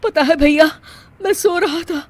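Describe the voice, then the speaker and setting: A woman's voice: very high-pitched, measured pace, no echo, voice pushed up. A woman voicing a frightened, earnest young husband in a story. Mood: shaken.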